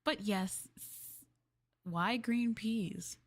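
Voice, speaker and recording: A woman streamer chatting casually in a maid roleplay, rambling and laughing softly as she addresses her master. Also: mid-range voice, extremely slow. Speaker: VTuber streamer.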